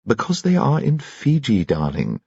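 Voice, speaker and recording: A man's voice: medium pitch, wildly swooping pitch, creaky, a little mellow, husky, no room sound, highs cut off, pitched above the performer's own voice, exaggerated voice. A man voicing a spirited, teasing elderly society mother who talks emphatically. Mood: playful. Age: older. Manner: brisk, emphatic, playful.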